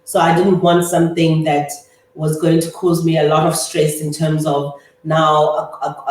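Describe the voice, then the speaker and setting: A woman in her thirties from South Africa's Eastern Cape, Afrikaans her first language, answering interview questions in a measured way with many pauses. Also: low voice, a little mellow. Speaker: guest, chartered accountant. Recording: echoey room, distant mic.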